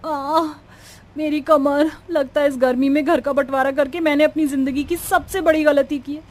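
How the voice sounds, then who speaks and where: A woman's voice: sits high, big pitch swings, normal speed, soft background music. A woman playing a haughty, lazy young daughter-in-law in a cartoon family drama, snappish and scolding. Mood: whining, regretful. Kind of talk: Hindi animated moral-story acting.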